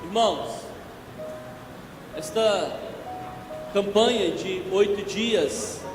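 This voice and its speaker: Man, hoarse, evangelist preacher, very high pitch, slow delivery, echoey church. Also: music in the background. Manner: slow, emphatic preaching that builds in force. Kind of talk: church sermon. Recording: echoey church with long reverb.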